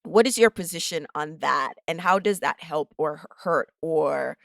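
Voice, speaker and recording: A woman from Jamaica hosts an interview in a conversational way. Her voice is a bit lower than most women's. It is recorded dry, with no room sound around it. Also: normal speed, creaky, a bit bright, dry recording. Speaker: podcast host.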